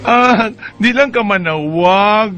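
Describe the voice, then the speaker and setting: A man's voice: high-pitched, slow, muffled recording. A man with a Filipino Bisaya accent playing a teasing, exclamatory young best friend in an amateur radio drama. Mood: playful, flustered. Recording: noisy homemade radio drama recording.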